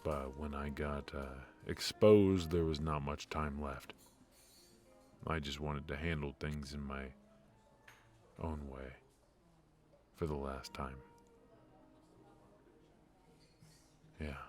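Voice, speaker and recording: An extremely low, unhurried male voice for a brooding mafia defector, acted by a man in a noir ASMR roleplay. He is ruthless yet remorseful, confiding in halting, intimate phrases over faint background music. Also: fairly even intonation, darkish tone. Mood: grim, matter-of-fact. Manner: halting, hesitant, confiding delivery.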